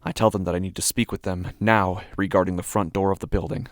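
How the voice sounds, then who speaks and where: A man playing an anxious, obsessive apartment dweller in a horror audio drama monologue, with a gravelly, often breathy voice and intense, deliberate delivery. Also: steady tone, background noise. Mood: tense, brooding. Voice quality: low, gravelly, often breathy, dropping to whispers. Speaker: horror fiction narrator.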